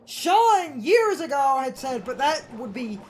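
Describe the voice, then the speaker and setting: A woman with a high, very lively voice co-hosts a casual let's play. She is blunt and opinionated, talking at an unhurried pace. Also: a little hiss or hum. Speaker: co-host of a let's play series. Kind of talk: casual gaming chat.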